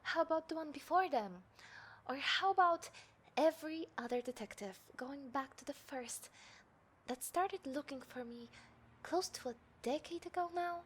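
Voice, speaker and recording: A woman playing a taunting, confident serial killer in a scripted audio roleplay, speaking to the listener with smug, deliberate menace. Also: high-pitched, expressive. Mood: smug, boastful. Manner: smug, menacing, deliberate, dropping into breathy whispers. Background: city ambience sound effects.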